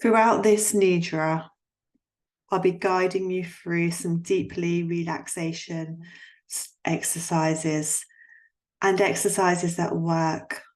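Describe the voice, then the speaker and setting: A woman guiding a yoga nidra relaxation in a soothing, gently instructing manner, leaving long pauses between phrases. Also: on the low side. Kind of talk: guided relaxation meditation.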